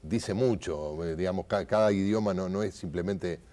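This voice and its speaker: A man's voice: deep voice, normal speed, mellow. A middle-aged Uruguayan man hosting a TV interview, chatty and hesitant, with fillers and restarts. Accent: Uruguayan Spanish. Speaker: TV interview host. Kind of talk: conversational TV interview.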